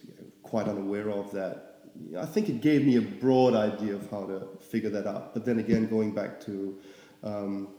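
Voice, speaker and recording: A man's voice: on the low side, moderate pace. A young man from Singapore, an artist, talking about his path in a casual, rambling way with lots of fillers. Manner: relaxed, rambling, many fillers. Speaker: artist guest. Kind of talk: casual interview. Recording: echoey room with long reverb.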